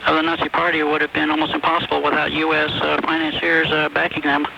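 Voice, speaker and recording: Male caller, slightly high creaky voice, hesitant, lo-fi shortwave phone sound with faint noise. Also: completely flat delivery, fast. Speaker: caller to a radio show. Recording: dull noisy phone call over shortwave radio.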